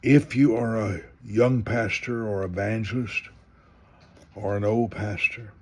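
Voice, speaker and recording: A man's voice: on the low side, steady tone, measured pace, a little mellow, husky. A man in his seventies, a minister, announcing an upcoming live broadcast in a rambling, conversational way. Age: 70s. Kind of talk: promo announcement for a live stream.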